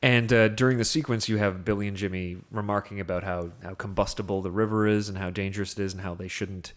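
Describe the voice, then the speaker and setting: A man's voice: lowish voice, fairly even intonation, quick pace. A man co-hosting a film podcast, talking casually and telling things as a story with acted-out asides. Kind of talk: casual film discussion with plot recap.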